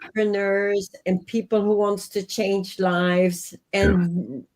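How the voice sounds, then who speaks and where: A woman's voice: medium pitch, little pitch movement, slow pace, darkish tone. A woman in her fifties from Sweden, with a Swedish accent, talking earnestly and reflectively as an interview guest. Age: 50s. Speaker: guest, life and business coach.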